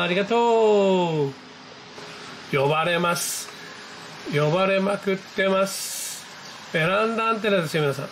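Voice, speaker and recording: High-pitched man, excited hobby vlogger. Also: vocal fry, background noise. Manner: exclamatory, talking to himself and the screen. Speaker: amateur radio hobbyist narrating own video. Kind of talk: excited casual running commentary to viewers. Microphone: handheld camera mic, pointed at computer screen.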